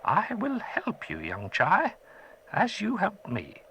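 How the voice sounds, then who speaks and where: A man voicing a kindly old male magician who speaks gravely and gives solemn warnings in a storybook. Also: high voice, huge pitch swings, slow pace, sharp tone, muffled recording, slight background noise, pitched above the performer's own voice, exaggerated voice.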